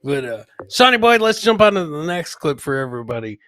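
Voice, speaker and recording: A man with a bright, punchy voice and a slightly high pitch hosts a casual live comedy show. The sound is dry and close. He chats loosely with his co-host and viewers, often saying a phrase twice for comic effect. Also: very animated, a little fast, no echo. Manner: chatty, addresses co-host and live viewers by name.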